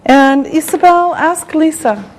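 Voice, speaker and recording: Woman, English teacher, slightly high voice, very slow and deliberate delivery, patient and encouraging. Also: expressive, slight background noise. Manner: very slow and deliberate, one word at a time, with repetition. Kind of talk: scripted language lesson for beginners.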